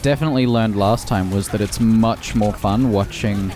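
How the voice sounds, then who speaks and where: A man's voice: on the low side, moderate pace, dark tone. A man, a game streamer, chatting casually while he plays. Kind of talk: casual chat while gaming. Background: game music.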